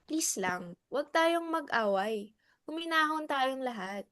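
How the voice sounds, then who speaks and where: A woman's voice: high-pitched, animated, slow pace, fairly bright tone, no room sound, studio quality, exaggerated voice. A woman with a Filipino accent playing a hesitant, peacemaking club member in a dramatic reading. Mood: soothing. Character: hesitant, conciliatory clubwoman keeping the peace.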